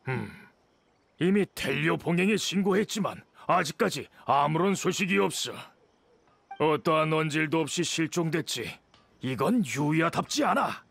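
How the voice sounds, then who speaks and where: Elderly master craftsman for a game: gravelly creak, slightly high, patient and kindly elder phrasing. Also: fairly bright tone, exaggerated voice. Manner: measured, old-fashioned elder speech. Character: elderly male master swordsmith, patient, kindly, worried for nephew. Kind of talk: video game voice acting. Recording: clear background music.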